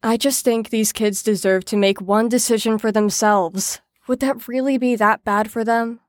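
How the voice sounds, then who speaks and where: An actress playing an earnest, dutiful female clinician in an audio drama, measured and clinical. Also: fairly high pitch, steady tone, dry recording, clean studio sound, lightly acted. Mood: earnest, persuading.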